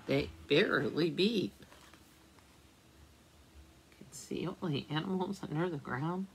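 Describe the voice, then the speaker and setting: A woman librarian reading a picture book aloud at storytime, slowly and gently, pausing to ask the children questions. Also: deep voice, expressive, creaky, slight background noise.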